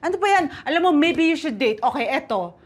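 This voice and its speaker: An actress playing an exasperated, nagging book editor in a romantic comedy, insistent and chiding with a Filipino accent. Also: female voice, sits high, lively intonation, fairly quick. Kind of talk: romantic comedy film acting.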